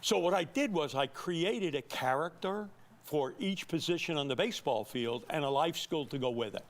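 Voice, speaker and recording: Older American man, higher-pitched folksy voice. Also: expressive, moderate pace. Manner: folksy, rambling, repeats words as they go. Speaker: baseball coach and guest. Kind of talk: conversational TV interview.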